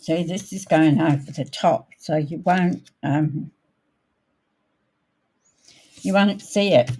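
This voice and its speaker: A woman with a croaky, husky voice talks through a casual craft tutorial. Her tone is mellow and on the low side, and she takes her time, thinking aloud as she works. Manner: unhurried, thinking aloud while working. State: croaky, coughing and throat-clearing. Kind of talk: casual live crafting demonstration.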